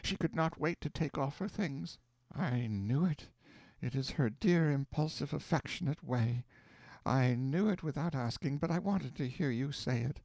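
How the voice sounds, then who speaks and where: A man voicing a gravely ill mother who speaks tenderly and wistfully of her daughter. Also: a little high, normal speed, darkish tone, dry recording, voice pushed up.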